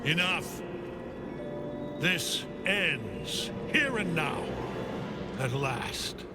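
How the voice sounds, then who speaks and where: An actor playing an older, commanding villain general in a video game, wrathful and domineering, with an imperious theatrical delivery. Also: male voice, fairly high pitch, sing-song, extremely slow, exaggerated voice. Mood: bitter anger. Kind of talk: dramatic video game acting. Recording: loud music behind the voice. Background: loud dramatic game music.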